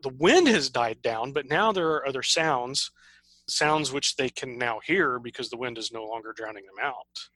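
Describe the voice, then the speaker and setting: A man's voice: medium pitch, lively intonation, moderate pace, bright, forceful tone, no room sound, low-quality recording. A man co-hosting a casual podcast, summarizing a story and playfully teasing his co-host. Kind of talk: casual book summary and chat.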